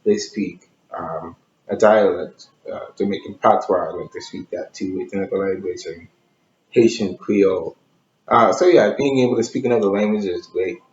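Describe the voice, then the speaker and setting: Low-voiced man answering slowly. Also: slight background noise. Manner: slow, thoughtful, with pauses. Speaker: guest. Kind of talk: casual conversation. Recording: roomy, dull lo-fi sound.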